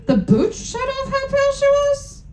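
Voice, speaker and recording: A woman's voice: very high voice, big pitch swings, normal speed, darkish tone. A woman giving a theatrical, exaggerated comic reading of fanfiction to a live audience, with amused asides. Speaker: performer reading aloud to an audience. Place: live event room before an audience. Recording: roomy live venue, echoing.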